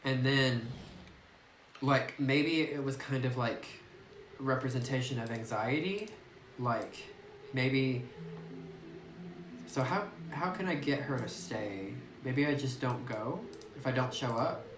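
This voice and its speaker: A let's-play commentator muses aloud in a loose, meandering way, stopping and restarting and leaning on "like" between thoughts. The voice sits at a middle pitch. It was captured on a phone in a room with noticeable echo. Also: slow pace, long pauses, noisy background. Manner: rambling, thinking aloud, heavy use of "like". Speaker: gameplay commentator. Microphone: phone microphone. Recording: roomy echoey phone recording.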